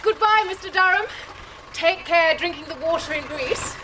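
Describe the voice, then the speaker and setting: A woman's voice: very high voice, moderate pace, background noise. An actress playing a genial, teasing middle-aged English mother in a radio drama, with an English accent.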